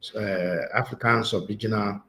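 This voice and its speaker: Low-voiced Nigerian man, measured and hesitant. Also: steady tone, pushed and bright. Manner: measured, with many uh and um fillers. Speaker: guest, writers' association secretary general. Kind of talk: interview answers. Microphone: video call.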